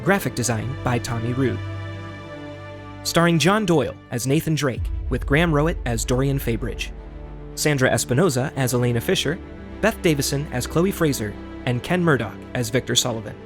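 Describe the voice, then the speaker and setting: A man's voice: medium pitch, lively intonation, moderate pace. A man reading scripted credits as an announcer for an audio drama. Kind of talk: scripted narration. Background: music.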